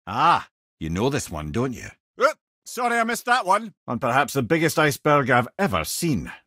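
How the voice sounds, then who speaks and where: A witty old Scottish storyteller, a learned talking head from a fantasy video game. His delivery swings widely in pitch, wry and wordy with old-fashioned turns of phrase. The sound is close and dry. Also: wildly swooping pitch, normal speed, bright, forceful tone, no echo, full character voice. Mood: wry, companionable. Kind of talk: video game character dialogue, storytelling and battle callouts.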